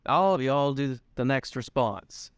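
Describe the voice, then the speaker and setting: A young man co-hosting a casual podcast, speaking in a laid-back, drawn-out way. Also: medium pitch, animated, smooth, no echo, clean studio sound. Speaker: podcast co-host. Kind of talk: casual chat.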